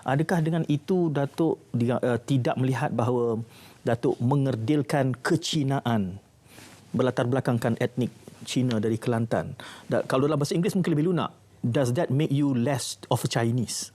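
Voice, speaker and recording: A man's voice: medium pitch, moderate pace, mellow. A man hosting a studio panel discussion, polite in his questions and murmuring frequent acknowledgments while his guest speaks. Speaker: program host.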